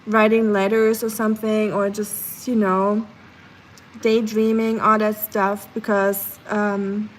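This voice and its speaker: A woman's voice: medium pitch, slight background noise, low-quality recording. A young woman live streaming from her kitchen, half asleep, chatting casually with lots of fillers and reading her chat aloud. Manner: chatty, many fillers, sleepy and relaxed. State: sleepy. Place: home kitchen.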